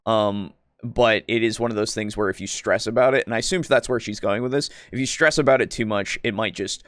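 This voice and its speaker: Guy chatting on a stream, clean smooth voice, real dry sound, kinda rambly and stop-start. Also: lowish voice, dry recording. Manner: halting, wordy, circles back to qualify points. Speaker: podcast host. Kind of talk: casual discussion, commenting on a video for a live stream.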